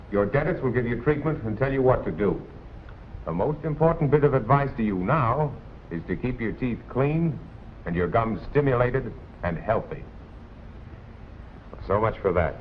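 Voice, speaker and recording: An American man narrating a 1940s instructional film in a plain-spoken, colloquial, admonishing way. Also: medium pitch. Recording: tinny, noisy 1940s film soundtrack.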